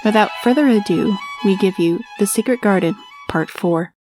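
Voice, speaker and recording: A woman's voice: medium pitch, normal speed. A woman announcer reading a scripted introduction to an audio drama. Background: music with strings and guitar.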